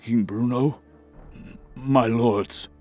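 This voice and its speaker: A man playing an earnest archmage in a fantasy audio drama, speaking formally and gravely with some hesitation. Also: mid-range voice, fairly even intonation, extremely slow, darkish tone, soft background music. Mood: grave, pleading. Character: earnest male archmage, deferential royal adviser.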